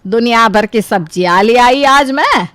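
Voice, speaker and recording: A woman playing a middle-aged, self-pitying, sarcastic mother-in-law in an animated story, scolding and complaining dramatically. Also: on the high side, measured pace, no echo. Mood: aggrieved, sarcastic. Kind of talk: animated story character dialogue.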